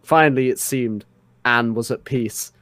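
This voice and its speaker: A man's voice: mid-range voice, unhurried, fairly bright tone, no room sound. A man doing a dramatic fan fiction reading and joking around casually as a podcast host.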